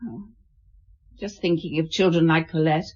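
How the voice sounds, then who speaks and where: An actress playing a tender, wistful middle-aged housewife, hesitant and self-effacing, in a radio drama. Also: female voice, low-pitched, fairly even intonation, moderate pace, slightly rough, lo-fi sound.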